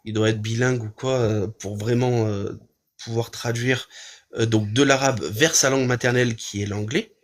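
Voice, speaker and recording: Male stream host, mid-pitched, halting and calm in debate. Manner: hesitant, many restarts, calm arguing. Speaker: livestream host. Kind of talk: casual debate on a live stream.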